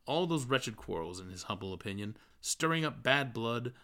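A man reading a literary novel aloud as an audiobook, in expressive narration. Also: medium pitch, moderate pace. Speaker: audiobook reader.

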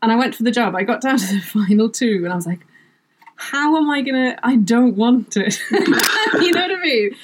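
A woman's voice: fairly high pitch, lively intonation, a little fast. A middle-aged woman from Wales, whose first language is Welsh, chatting as a guest about her writing work, self-deprecating and laughing often. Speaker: comedy writer, guest. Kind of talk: casual interview chat.

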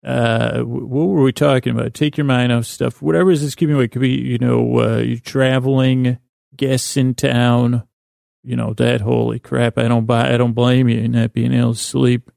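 Male bedtime podcast host: creaky, flat intonation, meandering, halting, self-deprecating, meant to lull. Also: mid-range voice, no echo, clean studio sound. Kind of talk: rambling bedtime-story podcast monologue.

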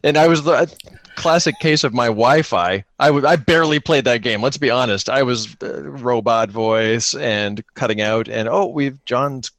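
A man's voice: medium pitch, normal speed, a bit bright, no room sound. A man chatting casually as a podcast guest, speaking in an earnest, emphatic way. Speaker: podcast guest and solo game player. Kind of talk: casual chat.

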